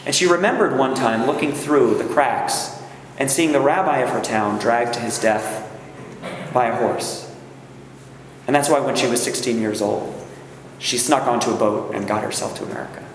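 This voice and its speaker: Rabbi addressing a gathering, conversational, keeps asking "right?", booming reverb from a big hall. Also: male voice, moderate pace. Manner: conversational, checks in with the audience. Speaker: rabbi leading a workshop. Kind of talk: speech to a gathering. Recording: echoey hall, faint room noise.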